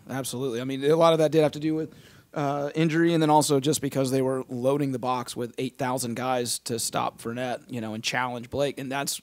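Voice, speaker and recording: Host with a voice still recovering. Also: male voice, mid-range voice, no echo. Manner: leads the talk, quick bursts of questions. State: voice recovering from illness. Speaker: podcast host. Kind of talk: casual sports podcast chat.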